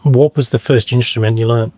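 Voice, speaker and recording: Relaxed Australian podcast host. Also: male voice, medium pitch, a little fast, no echo. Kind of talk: podcast interview.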